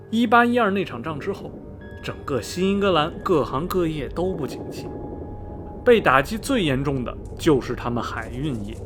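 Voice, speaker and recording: A man playing a chatty middle-aged railway station veteran in a radio drama, folksy and rambling, with many sighs and interjections. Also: on the high side. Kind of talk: Mandarin radio drama dialogue, storytelling. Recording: music behind the voice. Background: background music.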